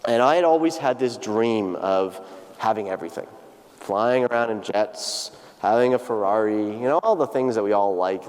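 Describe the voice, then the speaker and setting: A man's voice: medium pitch, a little mellow. A man in his thirties speaks earnestly to a large audience, telling a personal story with frequent restarts and fillers. His voice sits in the middle of the male range, and the lecture hall around him adds a long, trailing reverb. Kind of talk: plenary lecture to students.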